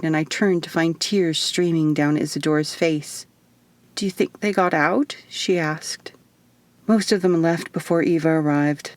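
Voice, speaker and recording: Woman, audiobook narrator, first-person scripted storytelling, fairly low pitch, dry quiet recording. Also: no echo.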